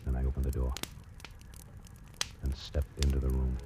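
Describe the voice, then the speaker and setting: Desperate plotter confessing in halting suspense, very deep voice, 1940s radio thriller with heavy music underneath. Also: male voice, monotone. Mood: hushed, nervous. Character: tense, desperate man plotting a murder in first person. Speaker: first-person narrator and lead actor. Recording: old radio recording, loud dramatic music.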